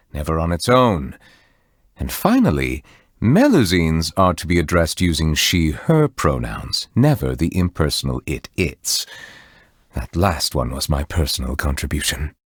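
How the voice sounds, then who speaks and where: Deep, solemn, refined judge. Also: male voice, no room sound, clean studio sound. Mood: solemn, formal. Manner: formal, measured, eloquent, reserved. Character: solemn, refined male judge, courteous otherworldly being. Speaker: voice actor in a video game. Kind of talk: scripted game character voice lines.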